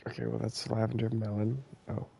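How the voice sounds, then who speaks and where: A man's voice: on the low side, level tone, brisk, vocal fry, dark tone, a little hiss or hum. A man streaming a game, tossing in blunt, sardonic asides in a casual way. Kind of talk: casual reactions to gameplay.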